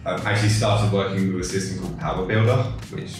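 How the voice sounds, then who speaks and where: Deep-voiced Englishman, echoey and distant. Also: fast talker. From England. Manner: relaxed, rambling with many fillers and restarts. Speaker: guest, tech company co-founder. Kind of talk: casual interview. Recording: echoey room, far from the mic, music behind.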